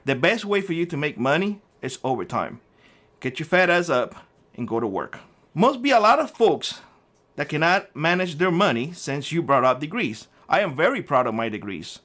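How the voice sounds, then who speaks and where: A man's voice: a little high, very animated, normal speed, a bit bright. A middle-aged man from Cuba with a Cuban Spanish accent, hosting a prepper vlog and ranting combatively at a commenter, with frequent swearing. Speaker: prepper channel host.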